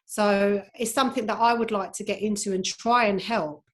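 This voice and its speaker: A woman's voice: mid-range voice, fairly even intonation, normal speed. A woman speaking at a conference, hesitant and reflective, with frequent "I mean" fillers. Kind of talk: reflective conversation.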